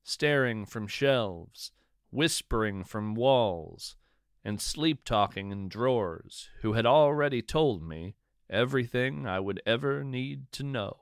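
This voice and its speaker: A man reads literary narration in a measured, deliberate way. His pace is slow, and his pitch moves with lively expression. The recording is dry, with very little room sound around the voice. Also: smooth, no room sound. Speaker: podcast host and narrator. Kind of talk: scripted narration, poetry reading and storytelling.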